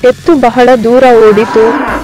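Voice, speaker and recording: A woman's voice: a little high, fairly even intonation, slow, music in the background. A woman narrating scripted moral tales for children.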